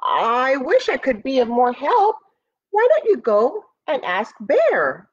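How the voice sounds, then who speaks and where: Huge cowardly storybook boar woman reader: pompous excuses, very animated. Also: high-pitched, slow, slightly rough, dull audio, pitched above the performer's own voice, exaggerated voice. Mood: self-important. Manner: blustering, apologetic. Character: huge boar, self-important but secretly cowardly. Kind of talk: children's storybook character reading.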